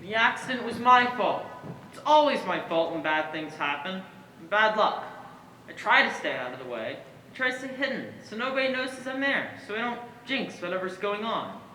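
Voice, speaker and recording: A woman's voice: medium pitch, sing-song, moderate pace, sharp tone. A woman playing a fearful, guilt-ridden young woman in a theatrical stage monologue. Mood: anxious, guilty. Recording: echoey, distant, faint noise.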